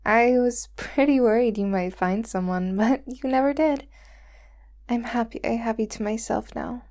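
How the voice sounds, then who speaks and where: A woman voicing a doting, possessive young girlfriend who holds her lover captive, talking softly and sweetly in a whispery voice. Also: on the high side, normal speed, natural voice. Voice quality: soft and whispery. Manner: sweet, clingy, coaxing. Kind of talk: roleplay audio drama to the listener.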